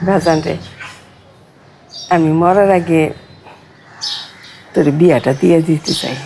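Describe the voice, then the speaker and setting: A woman's voice: low-pitched, slow, creaky, dark tone, a little hiss or hum, full character voice. An actress playing a pious, nagging, aggrieved older mother with a colloquial Bangladeshi Bengali accent in a television drama. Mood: coaxing. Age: middle-aged or older. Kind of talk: domestic TV drama acting.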